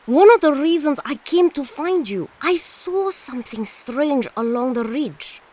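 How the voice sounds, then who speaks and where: A woman playing an earnest, curious teenage girl in an audio drama, speaking in a measured, expressive way. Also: sits high. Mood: gentle, teasing.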